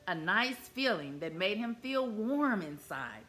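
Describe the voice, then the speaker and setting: A woman reading a children's picture book aloud in an expressive, animated way. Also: medium pitch, measured pace. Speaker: storyteller.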